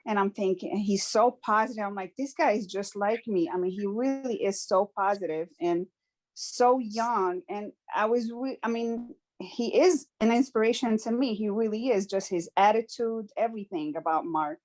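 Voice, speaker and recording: A woman speaks in a middle-pitched, conversational way. She is earnest and reflective and often stops to restart a thought. Her delivery is that of a guest telling a personal story in an interview. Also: normal speed, darkish tone. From Morocco.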